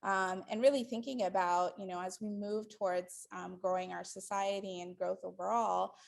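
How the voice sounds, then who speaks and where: Gravelly-voiced woman lecturing thoughtfully. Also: mid-range voice, fairly even intonation, normal speed. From Dominican Republic. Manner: thoughtful, many ums and restarts. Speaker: guest lecturer. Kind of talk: lecture to a live audience.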